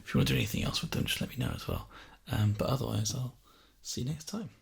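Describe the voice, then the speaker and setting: Very creaky soft-spoken ASMR man. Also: mid-range voice, quick pace. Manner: casual, reassuring, hesitant and chatty. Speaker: ASMR performer playing a personal shopper. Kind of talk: softly spoken ASMR sales role play. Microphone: binaural microphone, close. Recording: close binaural mic, clear background hiss.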